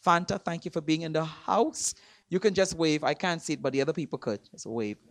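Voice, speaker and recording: A man from Trinidad with a Trinidadian accent, preaching in a church. He stresses words hard, repeats himself and swings between loud and soft. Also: fairly high pitch, brisk, no echo, studio quality. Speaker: guest preacher. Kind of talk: sermon. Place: church sanctuary.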